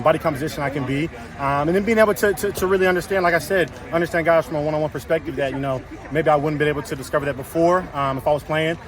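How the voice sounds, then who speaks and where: A young college lineman rattles off his answers at a very fast clip, his slightly high voice loud and carrying. He repeats words and leans on fillers as he talks. Crowd chatter fills the open-air scrum around him. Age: young adult. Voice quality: loud voice that carries. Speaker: college football offensive lineman. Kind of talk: casual post-practice interview answers.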